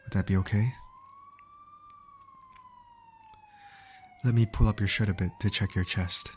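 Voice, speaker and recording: A man playing a gentle, reassuring ambulance medic in an ASMR comfort roleplay, speaking in a soothing, coaxing, attentive way. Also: on the low side, steady tone, quick pace, slight background noise, close to an everyday voice. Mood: calm, reassuring. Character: gentle, reassuring male ambulance medic tending an injured patient.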